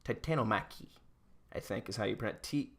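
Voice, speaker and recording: A man's voice: lowish voice, brisk, gravelly, a bit bright. A man hosting a podcast, casually retelling myths in a joking, irreverent and slangy way. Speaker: podcast host.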